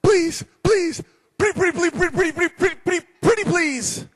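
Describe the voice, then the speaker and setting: A man's voice: very high voice, fairly quick, voice pushed up. A man voicing a scared male debtor in a story, begging with stammers and repeated words. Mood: desperate begging. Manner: stammering, repetitive pleading. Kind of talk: acted-out storytelling.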